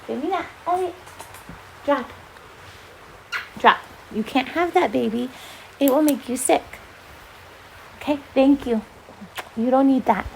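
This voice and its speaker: A woman reading aloud as a volunteer storyteller, with an expressive, dramatic delivery. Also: sits high, unhurried, creaky, long pauses, slight background noise, low-quality recording. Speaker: volunteer children's book reader.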